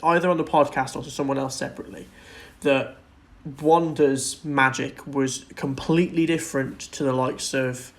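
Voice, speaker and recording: A man's voice: medium pitch, steady tone, normal speed. A man co-hosting a podcast, talking casually and enthusiastically in loosely worded thoughts about film and TV. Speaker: podcast co-host.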